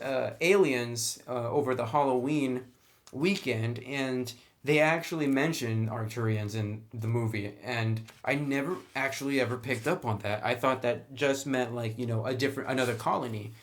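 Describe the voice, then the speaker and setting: Casual, enthusiastic male reviewer. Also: mid-range voice. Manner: conversational, enthusiastic about the material. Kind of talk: casual tabletop rulebook review.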